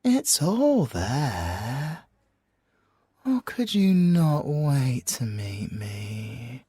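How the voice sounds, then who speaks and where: A man's voice: mid-range voice, big pitch swings, dark tone, husky, no room sound, exaggerated voice. A man with a British accent playing a suave, flirtatious former special forces soldier in an ASMR audio roleplay, teasing and theatrical, drawing out his words and slipping into whispers. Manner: teasing, drawn-out, theatrical delivery with whispered asides. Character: suave, flirtatious, possessive male ex-special-forces bomb expert.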